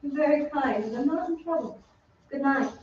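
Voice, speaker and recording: A courteous young soprano of the opera played by a woman in a radio play. Her speaking voice is high and poised, heard distantly in a very echoey hall with faint hiss. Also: moderate pace, a little mellow, dull audio, close to an everyday voice. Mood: polite, kindly. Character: polite, kindly young female opera singer. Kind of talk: old-time radio drama acting. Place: theatre stage, live reading.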